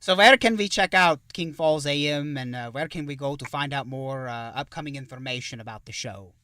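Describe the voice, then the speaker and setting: A man's voice: mid-range voice, a little fast, pushed and bright, no room sound, clean studio sound, far from the mic, natural voice. A man playing a genial, self-important thousand-year-old vampire who hosts an interview show in a theatrical, effusive manner. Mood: grand, grateful. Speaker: show host. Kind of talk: in-character interview hosting.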